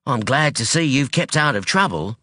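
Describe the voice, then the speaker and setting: Casting: narrator doing a terse, evasive middle-aged father in an audiobook, lifted and bright, dry close sound. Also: medium pitch, lively intonation, normal speed, dry recording, pitched above the performer's own voice. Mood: guarded. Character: guarded middle-aged father, crime boss. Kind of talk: audiobook dialogue.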